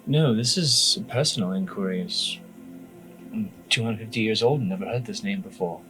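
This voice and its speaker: A man voicing a polite, inquisitive investigator in a tabletop game, speaking in a careful, halting, formal way. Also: lowish voice, over music, lightly acted, low-quality recording.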